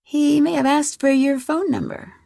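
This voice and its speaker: An actress with an American accent playing an inquisitive, determined young amateur detective in a video game, speaking clearly and questioningly. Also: female voice, high-pitched, normal speed, warm tone, no room sound, clean studio sound. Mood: friendly, coaxing. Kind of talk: scripted video game dialogue.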